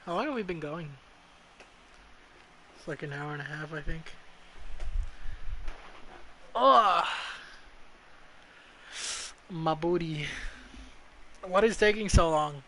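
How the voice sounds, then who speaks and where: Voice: mid-range voice, animated, slow, long pauses, a bit bright, slight background noise. A streamer giving casual, crude and irreverent game commentary, sometimes reading the dialogue aloud.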